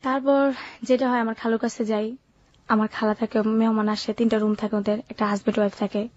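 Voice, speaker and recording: A woman's voice: fairly high pitch, little pitch movement, brisk, darkish tone, muffled recording. A seventeen-year-old woman with a colloquial Bangladeshi Bengali accent, telling her life story informally and matter-of-factly. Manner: matter-of-fact, unsentimental telling. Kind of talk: informal first-person storytelling.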